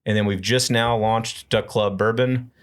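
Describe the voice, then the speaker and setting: A man from Memphis, answering interview questions in a measured, businesslike way. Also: on the low side, steady tone. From Memphis, Tennessee. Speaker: guest, company founder.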